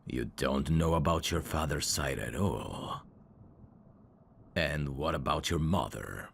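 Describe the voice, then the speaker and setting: A man playing a suave, teasing demon in an intimate ASMR roleplay, courteous and flirtatious, with a gravelly voice. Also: a bass voice, takes it slowly, slight background noise, full character voice. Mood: intrigued, teasing. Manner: smooth-talking, flirtatious, formal phrasing with hesitations. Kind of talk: intimate ASMR roleplay acting addressed to the listener.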